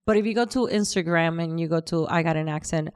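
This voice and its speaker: A woman's voice: deep voice, fast talker, clean tone. A woman hosting a podcast, speaking earnestly about faith and sharing stories, with frequent restarts. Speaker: podcast host. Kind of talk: casual podcast chat.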